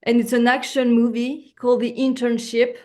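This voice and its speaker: A woman from France talks in English with a clear French accent, her voice sitting a little high. She is a guest actress on a video call, groping for words with many fillers and restarts. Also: little pitch movement, moderate pace, darkish tone. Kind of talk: casual interview over video call.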